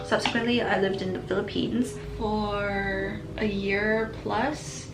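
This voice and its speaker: A young woman from Singapore talks through viewer questions in an easy, reflective way, laughing now and then. Her voice has a slight gravelly fry. She sounds a little roomy, with music playing underneath. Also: mid-range voice, flat delivery, slow. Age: young adult. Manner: chatty, reflective, laughs between answers. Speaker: vlogger. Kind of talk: casual chat answering viewer questions.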